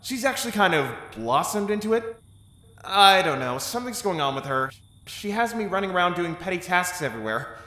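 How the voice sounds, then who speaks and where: A man playing a loyal, caring and wry soldier in an audio drama, speaking in an expressive, conversational way. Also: high-pitched, a little fast, echoey room, big-room sound, exaggerated voice. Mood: candid, reassuring.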